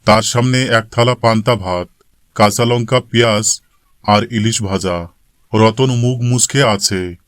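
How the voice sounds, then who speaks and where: A man narrating a scripted cartoon story in a measured manner. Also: fairly low pitch, clean tone, no room sound, studio quality.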